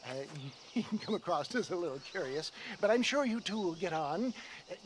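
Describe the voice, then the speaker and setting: An actor playing a flamboyant, scheming male snake-oil salesman in a video game, speaking in a theatrical, grandiloquent sales-pitch manner. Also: animated, moderate pace, slight background noise. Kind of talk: video game cutscene acting. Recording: game soundtrack music underneath.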